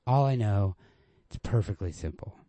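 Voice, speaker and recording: A man's voice: fairly low pitch, animated, normal speed, dark tone, no room sound. A man playing an insistent, anxious young man who emphatically cajoles and placates his girlfriend in a story reading. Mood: pleading, insistent.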